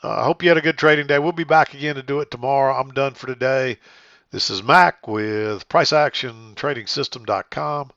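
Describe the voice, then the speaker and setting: Man, Southern accent, stuffy and hoarse, gravelly, dry dull audio. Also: mid-range voice, brisk, no room sound. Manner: calm, methodical, many ums and uhs. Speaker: trading educator. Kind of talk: day trading chart recap.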